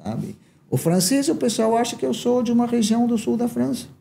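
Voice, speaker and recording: Animated Uruguayan man, dark voice. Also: extremely high pitch, brisk, gravelly. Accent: Uruguayan, with a southern Brazilian lilt. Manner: animated storyteller, many fillers and tag questions. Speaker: guest, entrepreneur. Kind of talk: casual conversation.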